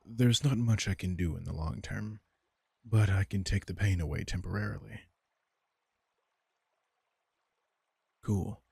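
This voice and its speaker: A man playing a protective, worried vampire king in an intimate comfort audio roleplay, speaking in a hushed, tender, deliberate way with a gravelly, husky voice. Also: sits low, dry recording, full character voice. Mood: soothing.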